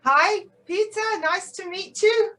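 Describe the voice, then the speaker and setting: A woman's voice: very high voice, slow, pushed and bright. A woman who grew up in southwest England, talking casually as a guest in an online interview. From born in Switzerland, grew up in southwest England. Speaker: interview guest, singer-songwriter. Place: remote internet video call.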